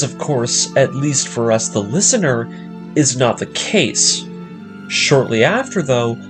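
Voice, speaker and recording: Guy narrating a story recap, kinda high voice, really expressive and dramatic. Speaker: narrator of an anime story breakdown series. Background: music.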